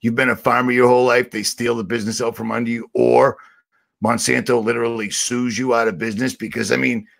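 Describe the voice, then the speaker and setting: A man with a raspy voice, ranting sarcastically and rambling on a livestream as the channel host. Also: mid-range voice, flat delivery, fairly quick, fairly bright tone. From Boston area. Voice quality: raspy, gravelly.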